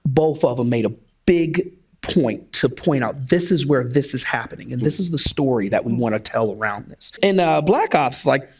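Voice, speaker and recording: A man's voice: medium pitch, animated, moderate pace, a little mellow, breathy edge. A man hosting a live panel in a casual, colloquial, playful way, coaxing answers out of guests. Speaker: panel host.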